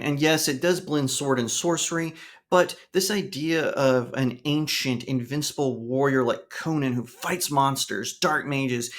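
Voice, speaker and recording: A man's voice: medium pitch, a bit bright. A man hosting a video essay, reading scripted narration in a conversational way that speaks straight to the listener. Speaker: host of a fantasy lore channel.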